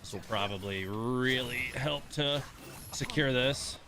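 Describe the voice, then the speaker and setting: A man's voice: medium pitch, unhurried, noisy background. A man livestreaming a game, giving casual running commentary and grumbling with mild swearing.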